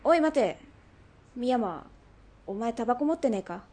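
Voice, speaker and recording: A woman playing a brash, bossy underworld boss in a radio drama, with rough, masculine, commanding speech. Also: on the high side, animated, normal speed, mellow. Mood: teasing, pushy.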